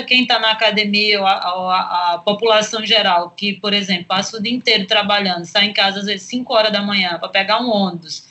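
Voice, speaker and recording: A woman's voice: a little high, bright, forceful tone. A Brazilian woman speaking as a guest in a conversational academic discussion, reasoning through questions and everyday examples.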